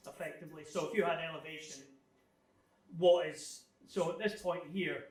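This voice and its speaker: A man giving an informal technical presentation, explaining things in a halting, thinking-aloud way as he answers questions. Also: on the high side. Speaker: guest speaker giving a technical club talk. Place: club meeting room, live talk. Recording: echoey room, distant microphone.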